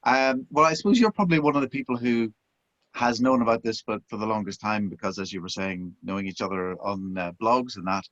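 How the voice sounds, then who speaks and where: A man's voice: lowish voice, fairly quick, a bit bright, no room sound, highs cut off. A middle-aged man from Belfast with a Belfast accent, an author talking chattily about his past in an interview. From Northern Ireland. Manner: chatty and self-deprecating, with frequent restarts. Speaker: author and former broadcast journalist. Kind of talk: relaxed interview chat.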